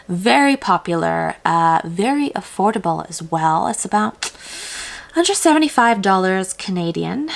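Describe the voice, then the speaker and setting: A woman's voice: lowish voice, gravelly. A woman from South Africa giving casual, upbeat advice straight to the viewer in a chatty vlog. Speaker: vlogger giving accent advice.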